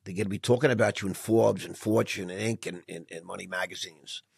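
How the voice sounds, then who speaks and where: A middle-aged man hosting an interview podcast, chatting casually in an animated, edgy, restless way. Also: sits low, quick pace, vocal fry, husky, no echo. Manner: animated, self-described anxious and edgy talker. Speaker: podcast host.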